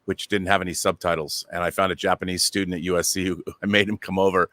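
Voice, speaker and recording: A man's voice: low voice, flat delivery, fast talker, a bit bright, no room sound, studio quality. A middle-aged man talking casually as a panel guest, telling detailed stories with enthusiasm. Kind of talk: casual anecdotal talk.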